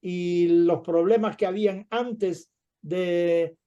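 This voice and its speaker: High, mellow-voiced rabbi introducing a guest. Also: male voice, fairly even intonation, slow pace, lo-fi sound. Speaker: rabbi and event host.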